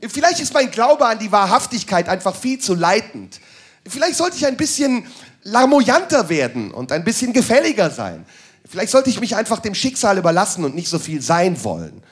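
A man's voice: high-pitched, wildly swooping pitch, normal speed. A man doing a crude, sardonic stand-up monologue that moves into ranting. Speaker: stand-up comedian.

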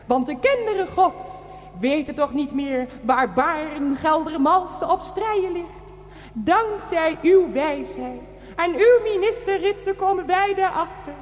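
Very high-voiced, pious churchgoer. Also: female voice, lively intonation, a little slow. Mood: solemn gratitude. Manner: ceremonious, declamatory. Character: pious, solemnly grateful churchgoer at public prayer. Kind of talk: satirical radio play prayer. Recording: reverberant church-like hall.

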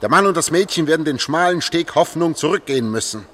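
A man's voice: a little high, animated, fast, a bit bright, slight background noise. An actor in a German radio play playing a bitter, mocking man lost in darkness underground, speaking in taunts. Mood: mocking, insistent. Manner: taunting, erratic, emphatic.

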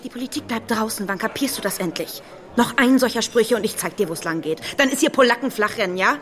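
An actress playing a brisk, no-nonsense tavern woman who scolds in a radio play. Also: a little high, a bit bright, faint music behind, natural voice. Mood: stern. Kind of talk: German radio play acting.

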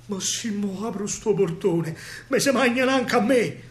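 A man's voice: sits high, measured pace, reverberant, pitched above the performer's own voice. A man acting a frightened, reluctant Roman baker who complains in a plaintive sing-song in a verse stage monologue. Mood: resigned, worried.

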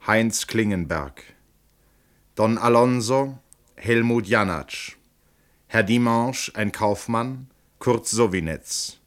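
Measured low-voiced male radio announcer. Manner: measured, formal enunciation. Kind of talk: formal scripted radio credits announcement.

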